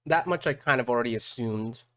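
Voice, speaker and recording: A man's voice: mid-range voice, fairly bright tone, no echo. Man thinking aloud in a dry, close recording. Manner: halting, thinking aloud, repeating words. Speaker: streamer reacting to game videos. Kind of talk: casual live reaction commentary.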